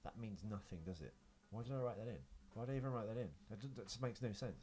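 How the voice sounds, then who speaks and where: A man's voice: lowish voice, fast, dark tone, slight background noise. A man co-hosting a podcast in casual film banter, rambling and jokey, often poking fun at himself. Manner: jokey, rambling, self-deprecating. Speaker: podcast co-host. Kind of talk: casual banter about films.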